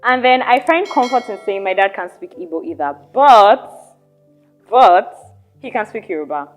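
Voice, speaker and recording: A young woman from Nigeria hosting a casual podcast chat, animated and chatty, often trailing off and restarting her thoughts. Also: mid-range voice, normal speed, faint music behind. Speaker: podcast host.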